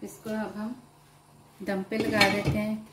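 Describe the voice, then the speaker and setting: A woman with an Urdu-influenced Hindustani accent calmly explains a home cooking recipe step by step to viewers. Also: medium pitch, takes it slowly, very noisy. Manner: calm, explanatory, addresses viewers directly. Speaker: home cooking channel host. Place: home kitchen at the stove.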